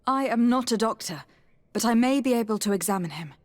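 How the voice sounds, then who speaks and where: A woman playing a composed, courteous young noblewoman diplomat in a video game, speaking formally in measured phrases with a husky voice. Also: fairly high pitch, animated, dry recording, natural voice. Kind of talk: video game dialogue acting.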